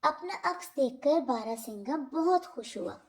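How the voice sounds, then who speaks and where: A woman narrating scripted moral stories for children in a deliberate storybook manner. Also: high-pitched, animated, darkish tone.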